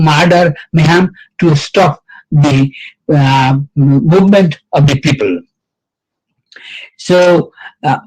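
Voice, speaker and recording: An older man recounts the past at an event in a slow, unhurried delivery. His voice sits a bit high for a man, and he halts often on fillers and restarts his sentences as he gathers his thoughts. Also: poor audio. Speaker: event speaker. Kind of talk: reminiscing speech.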